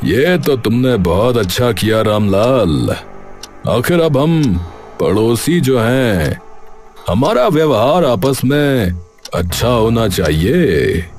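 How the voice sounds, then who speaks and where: A man voicing a scheming, greedy village headman in a cartoon, talking slowly with menace. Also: mid-range voice, big pitch swings, a little mellow, soft background music. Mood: falsely friendly. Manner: slow, menacing, drawn-out villain delivery. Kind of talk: cartoon voice acting.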